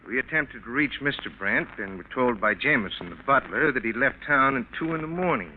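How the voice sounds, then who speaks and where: A man acts a methodical inspector in old-time radio mystery. He is formal and official in a mid-pitched voice. The recording is narrow, faintly noisy. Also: lively intonation, fairly quick, natural voice. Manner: official, matter-of-fact. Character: formal, methodical police inspector laying out facts. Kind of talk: 1940s radio acting.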